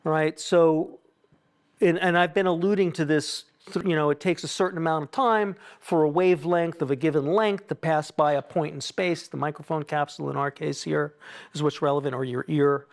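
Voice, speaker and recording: Man with a fairly high voice, an instructor giving a hands-on technical demo, musing aloud and explaining in asides. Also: steady tone. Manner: halting, self-questioning, explanatory asides. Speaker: acoustics consultant leading a tuning workshop. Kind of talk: informal live technical demonstration, thinking out loud.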